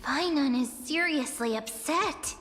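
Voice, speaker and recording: A woman's voice: high-pitched, takes it slowly, fairly bright tone, in a large hall. A woman voicing a small, childlike, worried companion in a fantasy game. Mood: concerned. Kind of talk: fantasy game character voice acting.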